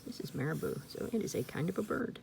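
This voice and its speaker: A woman's voice: fairly low pitch, animated, quick pace, vocal fry, a little mellow, husky. A woman reading a children's storybook aloud expressively, with casual asides spoken directly to young listeners. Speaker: storybook reader.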